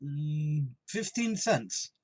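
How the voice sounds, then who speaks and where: A man's voice: on the high side, expressive, drawn-out words, darkish tone, breathy edge, no echo, heavily acted. A man playing a cheerful, dim-witted tavern regular, goofy and earnest, in an old-time radio comedy. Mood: earnest, puzzled.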